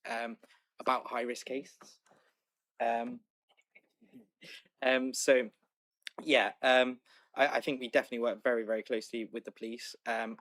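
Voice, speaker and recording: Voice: mid-range voice, fairly bright tone, no room sound, low-quality recording. A councillor answering at a meeting, hesitant and heavy on fillers.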